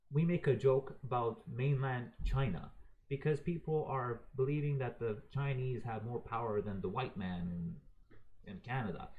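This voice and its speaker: Heated man ranting with a lively pitch. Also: a little hiss or hum. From raised in Croatia. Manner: profane, heated, exasperated. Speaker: video ranter. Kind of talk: unscripted opinion rant.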